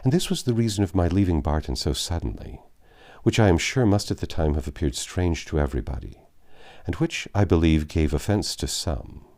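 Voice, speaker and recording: A man voicing a grave, sorrowful middle-aged English gentleman in a classic novel, speaking formally and earnestly, with some halting. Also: sits low, lively intonation. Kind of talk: dramatic audiobook reading of classic novel.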